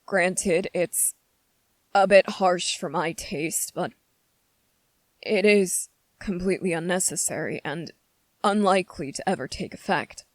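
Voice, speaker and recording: A gentle young prince played by a woman speaks slowly and haltingly, choosing courteous, formal words with an anxious care. The fantasy ASMR roleplay is recorded close and dry, with no room around the voice. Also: fairly low pitch, dry recording. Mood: apologetic, reassuring. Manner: hesitant, formal, polite, halting phrasing. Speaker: voice actor in audio roleplay. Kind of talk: ASMR character roleplay, scripted fantasy monologue.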